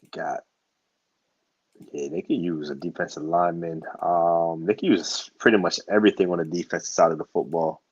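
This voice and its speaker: A man's voice: deep voice, steady tone, normal speed, darkish tone, breathy edge, dull audio. A man co-hosting a draft show, chatting casually and thinking aloud as he makes his picks. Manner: laid-back, hesitant, thinking aloud. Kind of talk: casual mock draft chat.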